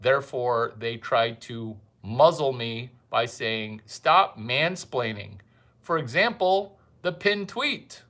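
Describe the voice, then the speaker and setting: A male comedian holding forth in a rambling monologue, saying his lines slowly and deliberately. He keeps hammering the same catchphrases over and over, stretching the words he wants to land. His voice sits at an ordinary middle pitch for a man. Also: lively intonation, a bit bright. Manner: provocative, repeats catchphrases, drawn-out emphasis. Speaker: comedian host.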